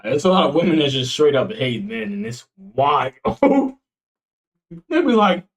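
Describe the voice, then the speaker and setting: A man's voice: medium pitch, big pitch swings, measured pace. A man in his twenties chatting casually on stream, joking around in a slangy way and laughing often. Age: 20s. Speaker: streamer reacting to videos.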